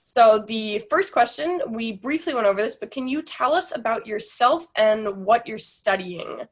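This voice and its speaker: Woman moderator, upbeat, fairly high voice, video call panel. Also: expressive, moderate pace. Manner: upbeat, reads introductions.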